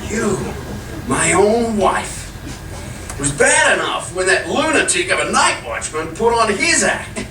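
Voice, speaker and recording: An actor playing an exasperated husband in old-time radio comedy style, incredulous and theatrically emphatic. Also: male voice, high-pitched, sing-song, unhurried, distant mic. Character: exasperated husband trying to sell a house. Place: hall at a lodge, live performance. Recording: echoey, noisy live stage recording from afar.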